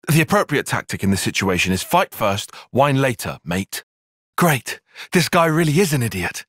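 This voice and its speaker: An actor playing an earnest, cerebral young soldier and tactician in a video game, with a British accent, articulate formal phrasing and a slightly husky voice. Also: male voice, mid-range voice, big pitch swings, moderate pace, a bit bright, no room sound, clean studio sound. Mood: dry, exasperated. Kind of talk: scripted video game cutscene acting.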